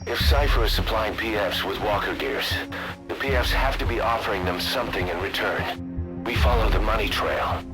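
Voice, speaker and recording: An actor playing a serious, businesslike military intelligence officer, giving a measured, clipped radio briefing in a video game. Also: male voice, on the low side, music in the background, natural voice, low-quality recording. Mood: grave, intent. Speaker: mission support officer.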